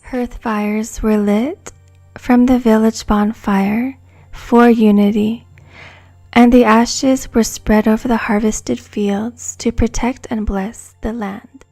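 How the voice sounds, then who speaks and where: A woman giving a soft-spoken, hushed and soothing ASMR narration from a script. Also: a little high, fairly even intonation, faint music behind. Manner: hushed, slow, soothing delivery.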